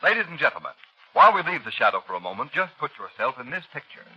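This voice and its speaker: A man's voice: medium pitch, sing-song, fast talker, over loud music. A man announcing a scripted commercial in the style of 1930s radio. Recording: muffled 1930s radio recording.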